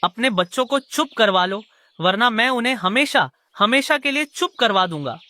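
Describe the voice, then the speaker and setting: Woman playing a sharp-voiced, bossy villain crow husband. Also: a little high, animated, normal speed. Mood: threatening. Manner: commanding, scheming. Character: gruff, menacing male crow villain husband. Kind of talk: children's cartoon voice acting.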